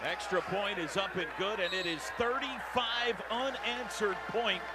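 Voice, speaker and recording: An American man calling football play-by-play on the radio in clipped phrases, getting excitable on big plays. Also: high-pitched, unhurried. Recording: very noisy stadium radio broadcast. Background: loud stadium ambience.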